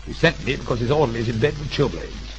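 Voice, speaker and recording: A man's voice: medium pitch, fast talker, muffled recording, lightly acted. A man voicing a prim, lisping young colonel's daughter with an upper-class English accent in a 1930s comic monologue. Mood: earnest. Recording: crackly, noisy 1930s gramophone record.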